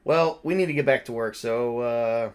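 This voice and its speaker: A man's voice: mid-range voice, normal speed, smooth. A man running a tabletop roleplaying game, narrating and joking casually with the other player.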